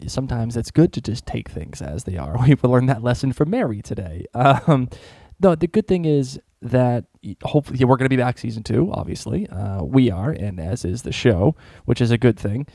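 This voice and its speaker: A man's voice: mid-range voice, animated, normal speed, warm tone, no echo, studio quality. A man hosting a podcast in casual, informal chat, often hesitating mid-sentence. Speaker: podcast host.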